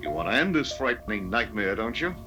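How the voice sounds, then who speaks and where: A man's voice: lowish voice, very animated, fairly quick. A man playing a reassuring, persuasive doctor and hypnotist in a 1970s community radio drama. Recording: live 1970s radio recording, music behind.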